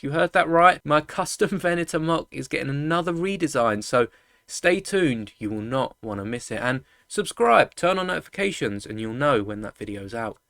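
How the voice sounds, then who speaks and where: Man, slightly high voice, chatty video host, rambling explainer, enthusiast showcase style, dry close sound. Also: fairly bright tone, dry recording. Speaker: YouTube host of a toy-building channel.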